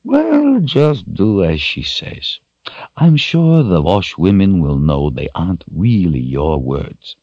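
A man voicing a gentle, kindly robed man who reassures, in a storybook narration. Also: sits low, wildly swooping pitch, slow pace, husky, dry recording, highs cut off, exaggerated voice.

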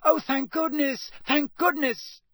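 A man's voice: very high-pitched, fairly even intonation, slow pace, bright, forceful tone, dry recording, studio quality, pitched above the performer's own voice, full character voice. A man voicing a frantic, middle-aged motherly witch who frets shrilly and tearfully.

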